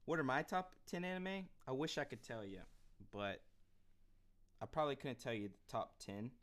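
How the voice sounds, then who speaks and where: A man live streaming a building game, chatting casually with his viewers and reacting with excited exclamations. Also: medium pitch, moderate pace. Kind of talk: casual live-stream chat while gaming.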